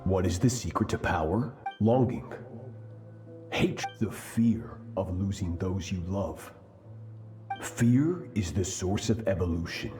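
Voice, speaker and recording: Deep, echoing, merciless master. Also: male voice, lively intonation, music in the background. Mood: cold, commanding. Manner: commanding, cold, deliberate. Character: stern, merciless male master training a child swordswoman. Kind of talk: dramatic scripted game dialogue.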